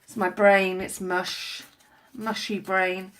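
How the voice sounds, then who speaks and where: A woman's voice: medium pitch, level tone, slow, a bit bright. Woman, sniffly head cold; chatty, rambling live-stream crafter talking into a tablet at home. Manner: rambling, chatty, many fillers and restarts. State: congested with a head cold, coughing. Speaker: craft vlogger. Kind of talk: casual live-stream chat while crafting. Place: at home indoors.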